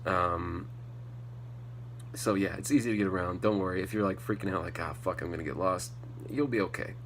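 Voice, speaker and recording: A man's voice: deep voice, a little fast. An American man slightly congested from a cold, rambling casually and bluntly as a solo podcast host, swearing often. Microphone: faulty setup, not the intended microphone. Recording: poor podcast audio with hum and noise.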